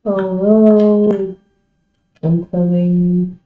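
A woman gamer chatting casually in short, halting questions and replies, in a roomy, muffled recording. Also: on the low side, little pitch movement. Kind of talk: casual game voice chat.

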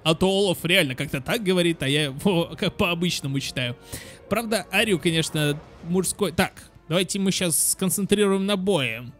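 A man's voice: high voice, moderate pace, a bit bright, faint music behind. A young man giving casual, exclamatory game commentary for children, chatting to viewers as friends. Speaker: gaming channel host.

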